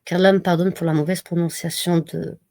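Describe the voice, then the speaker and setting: A woman giving a slow, measured devotional reading and reminder, pausing often between phrases. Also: lowish voice, flat delivery, dark tone, no room sound, clean studio sound. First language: not Arabic. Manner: slow, measured recitation with pauses. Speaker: religious reminder speaker.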